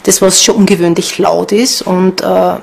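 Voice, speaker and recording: A woman's voice: fairly low pitch, little pitch movement, moderate pace, vocal fry, darkish tone, husky. A woman with an Austrian accent explaining things spontaneously in a documentary interview. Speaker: ghost hunter.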